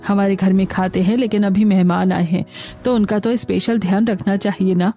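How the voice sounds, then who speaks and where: A woman's voice: mid-range voice, fairly even intonation, normal speed, mellow, soft background music, lightly acted. A woman voicing a scolding middle-aged mother-in-law in a cartoon. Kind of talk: Hindi cartoon dialogue.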